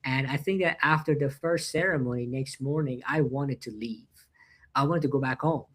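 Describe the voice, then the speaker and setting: Male interview guest, mid-pitched, earnest and reflective, slightly halting English, heard over a video call. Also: fairly bright tone. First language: Persian. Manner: earnest, with frequent "uh" and non-native grammar slips. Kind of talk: reflective personal storytelling.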